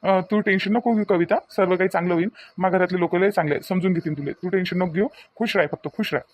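A man's voice: high-pitched, fairly even intonation, fast. A man voicing a young, reassuring newlywed village husband in a homemade cartoon, speaking colloquially with a Vidarbha Marathi accent. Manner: colloquial, repeats himself soothingly. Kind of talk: homemade cartoon family drama voice acting.

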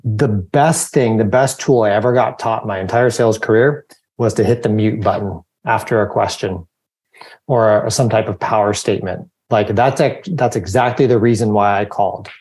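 Low-voiced man giving relaxed advice. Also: normal speed. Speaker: company founder and guest speaker. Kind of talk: webinar advice. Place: home office with bookshelves.

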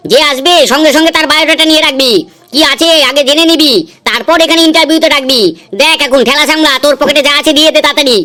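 A woman's voice: high-pitched, steady tone, very fast, bright, forceful tone. A woman voicing a bossy, self-important older male boss of beggars in a comedy cartoon, given to scolding.

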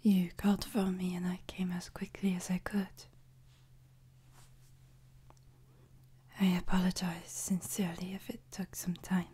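A woman playing a soothing, devoted French maid in a whispered ASMR sleep-aid roleplay, with a French accent and a soft, breathy delivery. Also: on the low side, little pitch movement, normal speed. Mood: apologetic, deferential. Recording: noticeable background noise, ambience.

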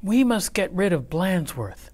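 A man voicing bored, scheming schoolchildren in a storybook, excitable and cheeky. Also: sits high, measured pace, voice pushed up, heavily acted.

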